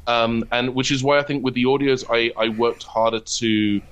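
A man's voice: mid-range voice, steady tone, moderate pace, fairly bright tone, highs cut off, a little hiss or hum. A man interviewed as a writer and voice actor, explaining his work reflectively and at length, often restarting his phrases. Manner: reflective, explains at length with repeated false starts. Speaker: guest, comedy writer and voice actor. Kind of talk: conversational interview.

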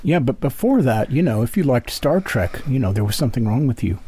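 Husky, mellow man with a lively pitch swing. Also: brisk. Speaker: interview host. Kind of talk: casual chat.

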